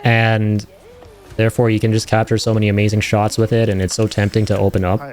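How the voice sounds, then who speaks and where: A man's voice: fairly low pitch, completely flat delivery, quick pace, crowd noise. A man giving casual, enthusiastic reaction commentary over game footage in an informal, slangy, chatty way. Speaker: host of a gaming reaction channel.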